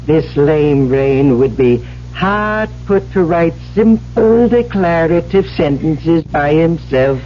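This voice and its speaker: Radio drama casting: imperious, brainy talking typewriter, higher-set voice, slow formal delivery, dull old broadcast sound. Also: male voice, animated, slight background noise. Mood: smugly superior. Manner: condescending, formal, measured. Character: haughty, commanding talking typewriter with a genius mind. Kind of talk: 1970s radio drama acting.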